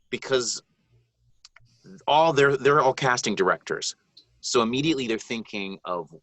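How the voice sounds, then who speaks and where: A man's voice: fairly low pitch, sharp tone, no echo. A man from the Bahamas, a guest narrator, giving earnest, rambling advice in a live video Q&A, with many ums and restarts.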